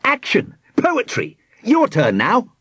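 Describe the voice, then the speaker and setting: A man plays a cocky little storybook rascal, sneering and boastful. His voice is pitched up and bounces about with very animated inflection. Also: slow pace, slightly rough, no echo, clean studio sound, heavily acted. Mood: triumphant. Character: cocky, wicked, taunting young boy villain.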